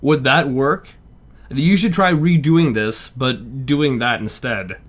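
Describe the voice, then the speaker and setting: A man's voice: mid-range voice, takes it slowly. A man hosting a reaction video, chatting casually in a rambling way with lots of "like". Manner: rambling, with frequent "like".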